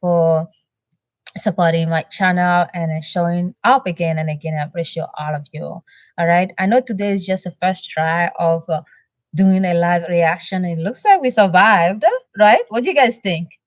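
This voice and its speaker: Low-voiced woman chatting live. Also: normal speed, no echo. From Benin. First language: French. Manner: chatty, warm thanks, reads chat aloud with many fillers. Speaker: reaction channel host. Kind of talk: live-stream chat with viewers.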